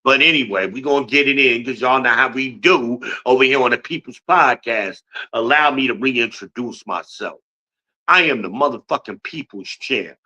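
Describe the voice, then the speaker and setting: A man's voice: mid-range voice, moderate pace, sharp tone, highs cut off. A man in his fifties from Newark, New Jersey, hosting a podcast livestream with a boastful, blunt, profane rant. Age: 50s. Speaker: podcast host.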